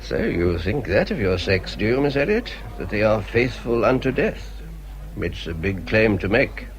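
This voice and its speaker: An actor playing a kindly, bluff English naval captain in a period radio drama, sincere and a little hesitant. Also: male voice, fairly low pitch, sing-song, moderate pace. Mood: challenging, courteous.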